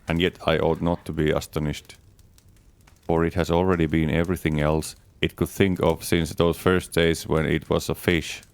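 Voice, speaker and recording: A man giving a measured, deadpan first-person reading of a comic diary, speaking as its writer. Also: extremely low pitch, vocal fry, dry recording. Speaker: audiobook reader. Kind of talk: dramatic reading of a diary as Adam.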